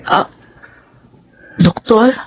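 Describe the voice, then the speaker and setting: A woman's voice: extremely slow, lo-fi sound, slight background noise. An actress in a Filipino radio drama playing a hurt, defensive young wife who grieves her lost baby. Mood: meek.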